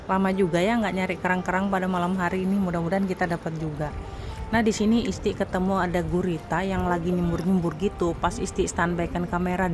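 A woman narrating her own foraging vlog as a voice-over, chatting with viewers as friends. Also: lowish voice. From Indonesia. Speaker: vlog host. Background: background music.

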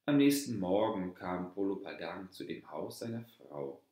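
A male storyteller reads a scripted fairy tale in a low voice. He takes his time, pacing the narration slowly and deliberately. The recording is strongly reverberant, as if made in a very echoey room. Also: sing-song, mellow. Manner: measured, deliberate read-aloud delivery. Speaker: storyteller reading a folk tale aloud.

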